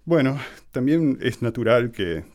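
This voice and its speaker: A man from Argentina with an Argentine accent, speaking as an interview guest in a measured, explanatory way with hesitant pauses. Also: mid-range voice, big pitch swings, a little mellow. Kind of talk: conversational radio interview monologue.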